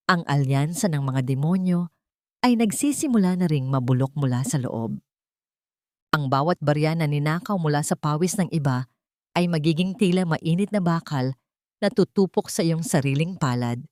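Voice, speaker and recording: A woman with a fairly low voice narrates a dramatic tale in a solemn, theatrical way. The sound is dry and close, with no room around it. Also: a little mellow, dry recording. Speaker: audiobook narrator. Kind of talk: dramatic scripted story narration.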